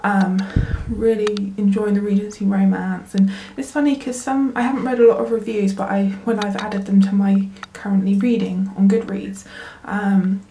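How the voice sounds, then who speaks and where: A woman's voice: medium pitch, little pitch movement, normal speed, hardly any pauses, warm tone, a little hiss or hum. A woman, chatting casually in a book vlog, full of ums and likes, sniffly and congested from a cold. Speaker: book vlogger. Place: work bathroom.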